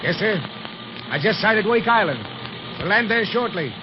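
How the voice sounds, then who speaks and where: A man acting a renegade aviator henchman in a 1930s radio serial, with slangy, rough-spoken delivery. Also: high voice, expressive, moderate pace. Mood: businesslike. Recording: noisy, muffled old 1930s radio recording.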